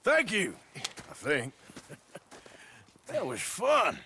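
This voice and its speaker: An actor playing a gruff, sardonic middle-aged outlaw with a rural Western American accent and a dry drawl, in a game cutscene. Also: male voice, on the high side, wildly swooping pitch, drawn-out words, heavy background noise, full character voice. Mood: wry. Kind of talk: naturalistic game cutscene acting.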